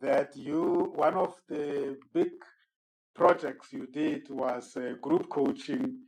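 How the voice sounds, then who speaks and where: A man hosting a formal podcast interview. He is courteous and measured, often repeating words as he introduces his guest. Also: fairly high pitch, darkish tone, poor audio. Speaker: host and moderator.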